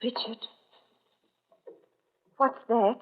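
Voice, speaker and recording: Breathy, anxious radio-drama wife. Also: female voice, on the high side, fairly even intonation, extremely slow. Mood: alarmed, pleading. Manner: urgent, pleading. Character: anxious, fearful wife, pleading with her husband. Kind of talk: old-time radio drama acting. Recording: dull, muffled old radio recording.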